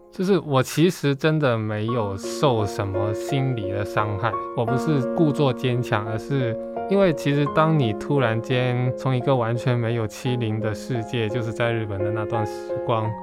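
A man from Japan who later lived in China and Hong Kong, talking reflectively in a measured, matter-of-fact way as an interview guest. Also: medium pitch. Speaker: podcast guest, comic artist. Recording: music playing behind the voice. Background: music bed.

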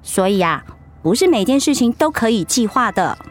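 An actress playing an outspoken, doting middle-aged wife in a radio drama, with a Taiwanese Mandarin accent. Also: female voice, fairly high pitch, big pitch swings, normal speed. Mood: gently persuasive.

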